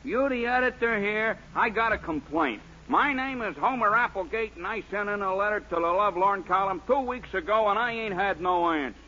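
High, sharp-voiced henpecked husband. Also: male voice, normal speed, few pauses. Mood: indignant. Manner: blunt, folksy grammar, grumbling. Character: indignant, henpecked husband with a complaint, uneducated. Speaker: actor in a radio comedy. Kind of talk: 1940s radio sitcom acting. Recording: dull, noisy 1940s radio recording.

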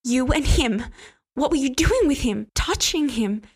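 A woman voicing a jealous, zealous young woman who pleads and shouts in high, strained bursts. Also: animated, a little slow, slightly rough, exaggerated voice. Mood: furious. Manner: shrill, pleading, rising to shouts.

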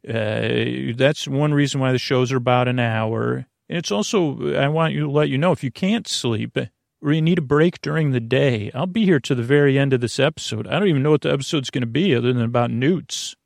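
A man hosting a sleep podcast in a meandering, halting monologue, often losing his thread. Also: mid-range voice, creaky, no room sound, studio quality. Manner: meandering, halting, loses his train of thought. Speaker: podcast host.

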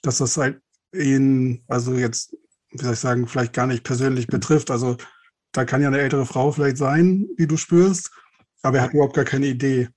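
A male podcast host asks questions in a casual interview style. His voice is medium in pitch, and he phrases things tentatively, circling back to reword them. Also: steady tone, brisk, a little mellow.

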